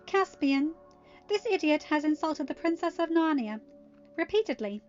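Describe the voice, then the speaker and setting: A high, imperious storybook princess, acted by a woman reader, speaks with sweet poise over controlled anger. Soft music sits behind the slightly dull recording. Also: expressive, normal speed. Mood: sweetly furious. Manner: sweet on top, angry underneath. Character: proud young princess, sweetly vengeful, coolly imperious.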